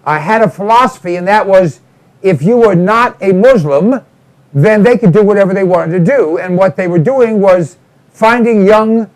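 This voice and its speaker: A man hosting a talk show, introducing his guest in a halting way with many fillers. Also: sits high, darkish tone. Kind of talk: casual talk show interviewing.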